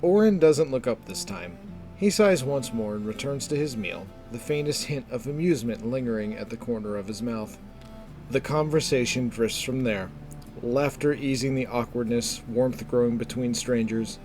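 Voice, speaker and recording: Measured male fantasy narrator over music. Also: medium pitch, darkish tone. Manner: measured, descriptive storytelling. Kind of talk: scripted fantasy story narration.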